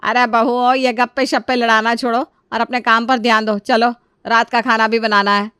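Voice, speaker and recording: A woman's voice: fairly high pitch, level tone, moderate pace, pushed and bright, clean tone, dry recording, clean studio sound. A woman voicing a demanding older mother-in-law who gives commands as household matriarch in a family story. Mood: bossy. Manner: commanding, instructing. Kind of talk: acted Hindi family story dialogue.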